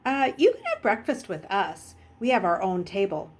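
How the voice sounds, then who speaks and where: A woman's voice: mid-range voice, wildly swooping pitch, moderate pace. A woman voicing a cocky, mocking teenage boy who leads his gang, brusque and offhand, in an audiobook. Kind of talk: audiobook character voice.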